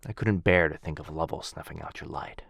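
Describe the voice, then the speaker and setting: Casting: male actor as noble, melancholy vampire lord for ASMR roleplay; low register, soft formal delivery, protective, close and dry sound. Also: fairly quick, no room sound, heavily acted. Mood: tender, regretful. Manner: soft-spoken, formal, tender, with hushed breathy dips. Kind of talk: intimate boyfriend ASMR roleplay.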